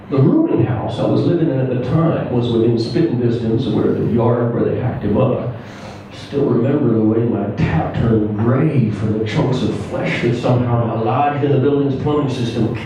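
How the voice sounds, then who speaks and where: A man giving a live reading of a scripted first-person story, measured and deadpan with deliberate pauses. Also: mid-range voice. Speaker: story reader. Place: indoor live reading before an audience. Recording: very echoey room, distant mic, faint noise.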